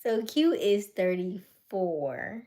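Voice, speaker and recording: A woman in her late thirties hosting a casual livestream chat, teasing and playful, slipping into quiet asides. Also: medium pitch, very animated, drawn-out words. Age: late 30s. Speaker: livestream host. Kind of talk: casual live chat with viewers.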